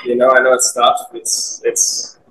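Young man with a flat, even voice. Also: medium pitch, measured pace, poor audio. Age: young adult. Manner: upbeat, filler-heavy, candid. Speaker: soccer player. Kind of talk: post-match press conference answers. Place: team media room, indoors.

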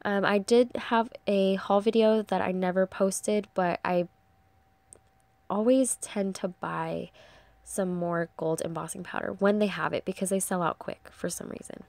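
A woman's voice: medium pitch, fairly even intonation, unhurried, gravelly, darkish tone, dry recording. A young woman with a congested, stuffy voice, chatting casually through a craft tutorial voice-over, rambling with lots of ums. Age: young adult. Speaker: crafting channel host.

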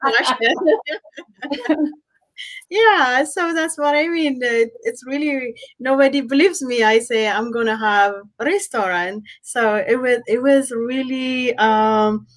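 A woman's voice: high-pitched, takes it slowly. A middle-aged woman from Ethiopia with an Ethiopian accent, telling her story conversationally as an interview guest on a video call. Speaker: interview guest, restaurant owner. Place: indoor room at home, on a video call. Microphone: computer mic on a video call.

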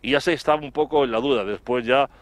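Male TV reporter, middle-aged, Spain accent, smooth clear voice, halting and wordy live delivery. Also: mid-range voice. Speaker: television reporter. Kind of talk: live report, spoken recollection. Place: outdoors in front of an office building. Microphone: handheld microphone, through a face mask.

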